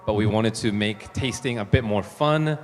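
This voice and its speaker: A man from New Zealand talking as a panel guest, relaxed and easygoing, with lots of fillers. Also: lowish voice, moderate pace. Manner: relaxed, laughs easily, many fillers. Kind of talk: conference panel talk. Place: conference hall. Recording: hall reverb with faint room noise.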